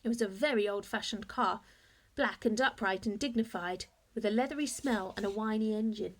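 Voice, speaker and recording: A woman reading a children's story aloud in expressive narration, like a teacher to a class. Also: mid-range voice, normal speed.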